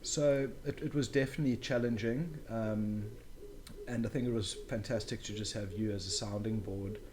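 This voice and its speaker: A man's voice: fairly low pitch, steady tone, moderate pace, crowd noise. A young man speaking as an interview guest, earnest and emphatic, giving his opinions in a wordy, conversational way. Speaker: interview guest, new father.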